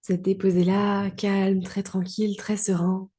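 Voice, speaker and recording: A woman guiding a relaxation meditation as a yoga teacher, calm and soothing, in short phrases with long pauses. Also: medium pitch, breathy edge. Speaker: yoga nidra guide.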